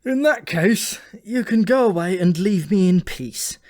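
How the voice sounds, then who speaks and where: Male reader as bold young everyman, high and indignant, excitable, comic fantasy storybook. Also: unhurried. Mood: put out. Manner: excitable, questioning. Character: bold, indignant modern young man, out of his depth.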